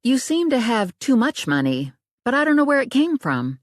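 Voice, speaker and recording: A woman's voice: a little high, sing-song, moderate pace, no room sound, clean studio sound, voice pushed up. A woman playing a frazzled, blunt young professional woman in audiobook dialogue, with an exasperated manner.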